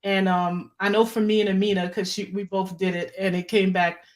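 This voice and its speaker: A woman's voice: medium pitch, flat delivery, fairly quick. A woman hosting a live online talk show, chatty and upbeat as she addresses viewers. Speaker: host.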